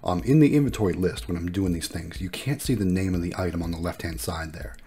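A man's voice: low-pitched, quick pace, warm tone, slight background noise. A man narrating a casual vlog in an upbeat, appreciative way, explaining things as he goes. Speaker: vlogger and vending machine operator.

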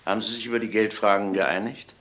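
An actor playing a polite, quietly probing police inspector in a crime drama, understated and questioning. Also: male voice, fairly low pitch, steady tone, fast, close to an everyday voice. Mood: polite, reserved. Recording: noisy old film soundtrack.

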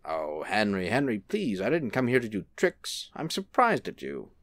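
A man playing a suave, serene angel in a radio-style drama, reassuring and gently evasive. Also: on the low side, wildly swooping pitch, normal speed, dry recording, exaggerated voice. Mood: gently chiding. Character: suave, serene male angel posing as an assistant. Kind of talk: film-adaptation audio drama acting.

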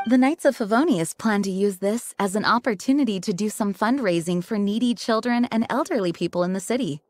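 A woman voicing an earnest, dutiful young knight leader in an anime video game, speaking in a composed, formal, measured way. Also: mid-range voice, darkish tone, natural voice. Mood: courteous, informative.

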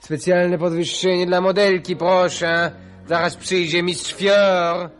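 An actor playing a dissolute, jealous young count with affected aristocratic manners in a grotesque radio operetta. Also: male voice, high-pitched, level tone, takes it slowly. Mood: smooth, explaining. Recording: 1980 radio play with music behind.

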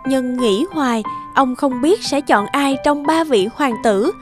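High-pitched woman storyteller over music. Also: a little slow. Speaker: narrator. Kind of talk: scripted fairy-tale narration.

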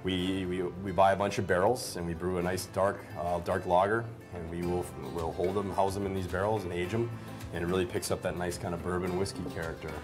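A man in his thirties with a fairly low voice shows visitors around in a casual, rambling way. He speaks informally into a lapel mic, with some music playing behind him. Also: flat delivery, fairly quick. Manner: informal, rambling, hedging with "kind of". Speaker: brewery representative giving a tour. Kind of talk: casual interview tour. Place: indoors in brewery rooms and stone cellar.

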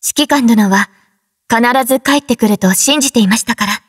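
A woman playing a gentle, composed female android soldier in an anime game, speaking softly and with polite formality. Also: fairly high pitch, moderate pace, husky, no room sound, clean studio sound. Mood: tender. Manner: polite, formal, soft-spoken. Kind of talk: Japanese anime game voice acting.

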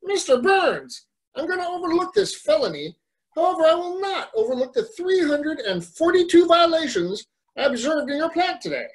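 A woman's voice: very high-pitched, big pitch swings, normal speed, rough recording. A woman playing a stern, incorruptible government inspector, indignant and formal, in an amateur cartoon table read.

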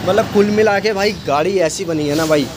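Man, high voice, boastful casual chatter, outdoor bike shop, phone camera, loud traffic behind. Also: a little fast. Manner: chatty, says "bhai" constantly, boastful. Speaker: bike mechanic and channel host. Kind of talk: casual walkaround video talk. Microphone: phone camera, speaker off screen. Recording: noisy street and traffic behind.

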